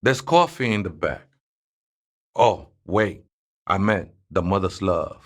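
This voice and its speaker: A streetwise convert with a low-pitched voice and a Chicano accent, in a video game. He is a devout former drinker who mixes barrio slang with fervent preaching, urging others toward salvation. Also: expressive, slow pace, fairly bright tone, heavily acted. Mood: laid-back, coaxing. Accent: Chicano Spanish-English. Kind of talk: character dialogue for a role-playing game.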